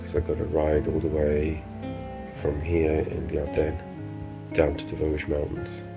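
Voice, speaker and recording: Man, stuffy blocked nose, deep voice, tired easygoing travel vlog, music playing behind. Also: monotone, a little slow, gravelly. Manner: tired, laid-back, rambling with fillers. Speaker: motorcycle travel vlogger. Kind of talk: casual vlog talk to camera.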